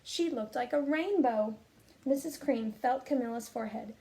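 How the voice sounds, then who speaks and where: A woman speaking as a teacher, explaining and reading aloud in an expressive, instructive way. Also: on the high side, moderate pace. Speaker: teacher and reading program fellow. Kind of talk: explanatory talk, then storybook read-aloud.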